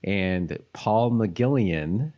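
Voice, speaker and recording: A man's voice: on the low side, animated, extremely slow, dark tone. A man co-hosting a podcast, chatting casually in a loose, rambling way as he thinks aloud. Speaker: podcast co-host. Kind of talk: casual chat about a TV episode.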